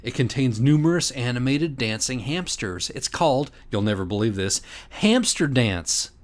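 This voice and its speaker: A man's voice: mid-range voice, big pitch swings, moderate pace, lightly acted. A man voicing an overly cheerful male office worker making hearty, corny small talk in a storybook parody. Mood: jovial.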